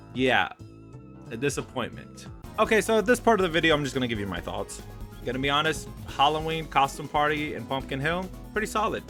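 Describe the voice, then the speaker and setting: A man's voice: medium pitch, sharp tone. A man giving casual, candid game review commentary, conversational and opinionated as he goes. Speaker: video game YouTuber reviewing skins.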